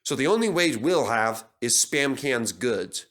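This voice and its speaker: A man's voice: mid-range voice, sing-song, a little slow, a bit bright. Male reader as a level-headed train driver for a children's story, thoughtful and encouraging. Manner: considered, encouraging.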